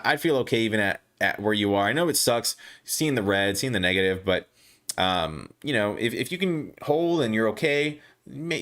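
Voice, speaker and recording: A young man hosting a casual stock livestream, reading viewer comments aloud and answering them. He talks haltingly with many restarts and fillers, and his voice is going hoarse. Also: mid-range voice, fairly bright tone. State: losing his voice, clearing throat.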